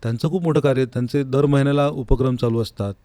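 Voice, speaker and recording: A man's voice: medium pitch, steady tone, quick pace, warm tone, dry recording. A man answering interview questions in an earnest, conversational way, repeating words for emphasis. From Maharashtra, India. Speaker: interview guest, classical vocalist. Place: recording studio.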